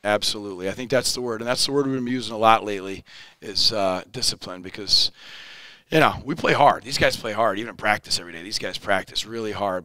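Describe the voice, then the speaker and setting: A man in his forties with a lowish, smooth, clear voice gives an upbeat post-game interview as a basketball coach. He talks plainly and repeats words when he restarts. His headset mic in a studio set sounds dry and close. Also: sharp tone, no echo. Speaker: high school basketball head coach. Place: indoor studio set in front of a backdrop.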